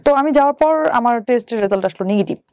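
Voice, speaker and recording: Higher-voiced Bangladeshi woman telling her story. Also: animated, racing, darkish tone, no echo. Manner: detailed step-by-step telling with some English words. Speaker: interview guest, bank employee. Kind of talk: telling a personal story in conversation.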